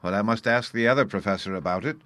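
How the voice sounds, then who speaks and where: A man's voice: lowish voice, quick pace, fairly bright tone, close to an everyday voice. A man reading aloud, voicing a mild, puzzled old professor in a storybook.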